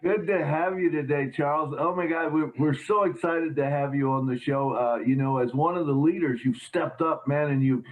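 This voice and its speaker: A male show host in a casual interview who talks haltingly, repeating words and restarting his sentences often. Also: fairly high pitch, low-quality recording.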